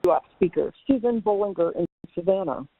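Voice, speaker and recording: A woman's voice: lowish voice, expressive, a little fast, gravelly, no room sound. A woman calling in to a webinar to ask a question conversationally, over a tinny phone line. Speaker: audience member asking a question. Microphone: telephone call-in.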